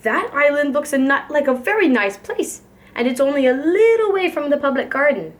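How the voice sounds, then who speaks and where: Lady reading as an easygoing daddy duck, polite n hopeful, high lilting voice. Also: expressive, moderate pace.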